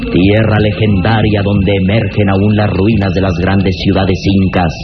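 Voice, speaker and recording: A man's voice: sits low, flat delivery, a little slow. A man narrating a 1960s radio serial in a solemn, florid, declamatory way. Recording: dull 1960s radio recording, loud music behind.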